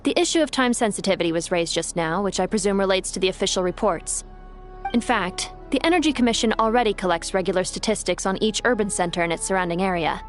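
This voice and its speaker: Game role: woman as composed official, medium pitch, tactful formal speech, soft music underneath. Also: fast talker, lightly acted. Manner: polite, formal. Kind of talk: scripted video game acting, formal.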